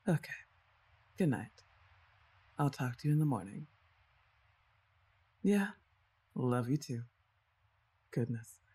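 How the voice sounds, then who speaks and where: Unhurried, breathy young woman; a woman voicing a playful older sister who teases softly in an ASMR roleplay. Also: extremely low pitch, exaggerated voice. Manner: playful, teasing, soft-spoken.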